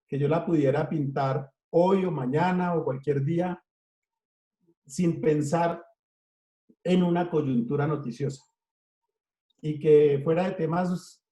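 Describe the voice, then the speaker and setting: A middle-aged Colombian man with a Colombian accent, telling an unhurried story in an interview and listing things in rhythmic runs. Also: on the high side, mellow. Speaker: interviewed cartoonist. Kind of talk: relaxed storytelling. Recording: echoey room.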